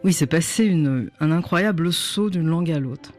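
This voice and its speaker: A woman's voice: deep voice, normal speed, darkish tone, soft background music. A woman novelist whose first language was Chinese, speaking in a radio studio interview, reflective and searching for words. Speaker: novelist guest.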